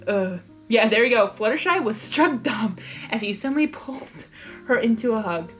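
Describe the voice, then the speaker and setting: Girl reading a fanfic out loud, highish voice, expressive, chatty reactions, music behind. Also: normal speed. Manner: excitable, expressive. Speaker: fan fiction reader and reactor. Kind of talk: blind story reading with casual reactions.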